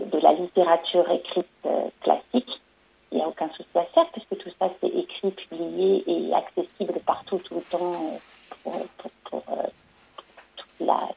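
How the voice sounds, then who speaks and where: A low, gravelly woman's voice comes over a thin phone line. She answers an interviewer thoughtfully, pausing often and restarting her sentences as she searches for words. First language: French. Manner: hesitant, many fillers and restarts. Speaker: storyteller and performer. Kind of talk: radio interview.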